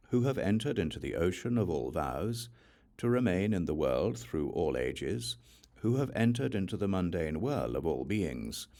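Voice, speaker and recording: Male audiobook narrator, deep register, expressive rise and fall, solemn scriptural reading.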